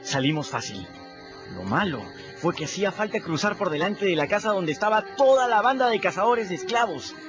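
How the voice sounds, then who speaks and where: A plain-spoken boy narrator in a radio drama. His voice sits a bit high and tells the story wryly. The recording is dull, with music underneath. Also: big pitch swings, brisk.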